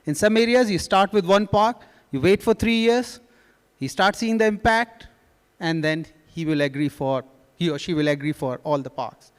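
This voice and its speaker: Man, high voice, conference panelist, conversational, lots of examples, long hall reverb. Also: moderate pace, clean tone. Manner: conversational, explains with examples and quoted speech.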